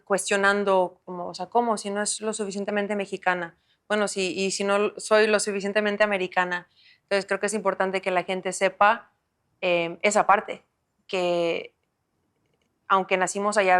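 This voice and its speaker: A woman's voice: mid-range voice, fairly bright tone, clear, resonant tone. A woman from the United States interviewing guests, asking follow-up questions in a conversational way.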